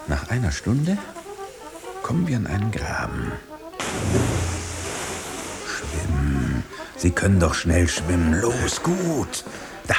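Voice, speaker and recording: An actor in a radio drama playing a hunted, engineered man who eats noise, speaking urgently and haltingly, often breaking off. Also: low voice, full character voice. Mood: urgent, beckoning. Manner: halting, insistent, breaking off sentences. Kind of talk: German radio drama acting.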